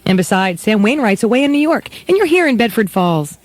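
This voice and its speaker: A woman's voice: a little high, very animated, fairly quick, dry recording. A woman playing a knowing, coaxing small-town mother in a radio play. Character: small-town mother, nudging, matchmaking, fond of her son. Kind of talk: live radio play reading.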